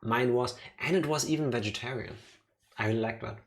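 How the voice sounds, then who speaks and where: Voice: medium pitch, a little fast, a little hiss or hum. A streamer chatting casually while playing a game. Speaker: let's play streamer.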